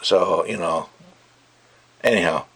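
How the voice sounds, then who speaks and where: A man's voice: sits low, takes it slowly, fairly bright tone, husky. A man giving a casual, rambling and opinionated product review, talking off the cuff.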